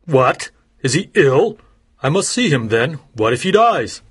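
A male audiobook narrator playing a greedy old judge who turns from false friendliness to threats, in a husky voice. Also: medium pitch, big pitch swings, unhurried, full character voice. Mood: falsely friendly. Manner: smooth, menacing. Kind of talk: audiobook character voice.

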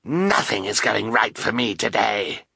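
A man voicing a vain, menacing male villain in a storybook, with a raspy, gravelly voice and a bellowing, theatrical manner. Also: lowish voice, big pitch swings, unhurried, sharp tone, dry recording, heavily acted. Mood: exasperated.

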